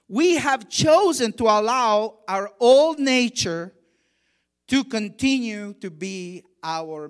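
Slow, higher-voiced man preaching. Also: clean tone. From Honduras. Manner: measured preaching, rising emphasis on key phrases; drops to a quiet, conversational tone for personal stories. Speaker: guest preacher. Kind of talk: sermon.

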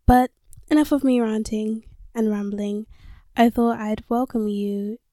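Young woman from London, slightly higher voice, mellow tone, candid, swears a lot, close dry sound. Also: steady tone, measured pace, no echo. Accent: London with some American tone. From London, England. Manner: candid, chatty, swears freely, laughs at herself. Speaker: podcast host. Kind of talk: casual solo podcast monologue. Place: small closet. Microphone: handheld mic.

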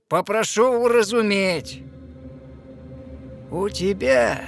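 A man voicing a cunning, ruthless female sea witch in a verse fairy-tale audio play, coaxing and deliberate. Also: high voice, gravelly, no echo, pitched above the performer's own voice, exaggerated voice. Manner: slow, drawn-out, deliberate coaxing delivery.

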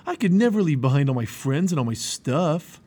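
A man voicing a stubborn, strong boy who is gruff, bossy and scornful, in a children's story. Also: mid-range voice, wildly swooping pitch, a little slow, a little mellow.

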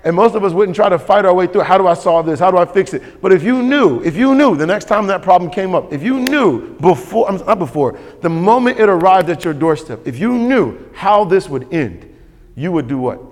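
A man's voice: high-pitched, lively intonation, fairly quick. A man preaching a sermon as pastor, emphatic and repetitive, calling for responses from the congregation. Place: church sanctuary. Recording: long hall reverb.

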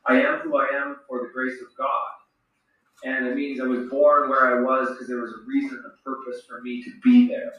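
A middle-aged man from Saskatchewan, a retired hockey player slowly answering children's questions with a reflective manner and restarts. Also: mid-range voice, very animated, fairly bright tone. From Saskatchewan, Canada. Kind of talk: thoughtful Q&A with children. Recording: roomy, echoey sound from far off.

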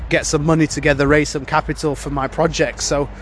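A man's voice: fairly high pitch, flat delivery, brisk, a little hiss or hum. A man hosting a casual solo podcast, sounding congested from a cold and rambling with many fillers and restarts. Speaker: podcast host.

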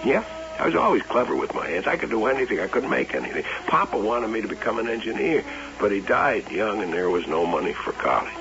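A brooding carnival doll-maker. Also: male voice, fairly low pitch, sing-song, fast talker. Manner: brooding, dreamy, creaky. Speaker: carnival barker. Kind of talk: 1970s radio drama acting. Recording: dull old radio broadcast with music.

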